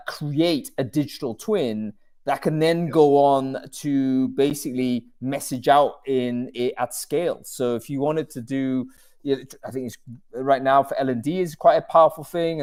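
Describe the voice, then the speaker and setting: A man's voice: mid-range voice, a little mellow, smooth. A man hosting a casual video-call chat, talking in a rambling, self-deprecating way. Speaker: host.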